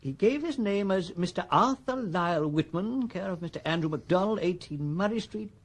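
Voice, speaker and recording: An actor playing a stuffy, cautious stockbroker who speaks formally and hesitantly in a radio drama. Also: male voice, high-pitched, expressive, moderate pace. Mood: hesitant, cooperative. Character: stuffy, cautious male City stockbroker, fussily discreet. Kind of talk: BBC radio drama acting.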